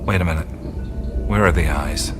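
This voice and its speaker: A wry, wisecracking adventurer. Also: male voice, rumbling low, normal speed, music in the background. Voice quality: dry, wisecracking, often hushed. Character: wry, uneasy male adventurer. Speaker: lead adventurer. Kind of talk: radio drama acting.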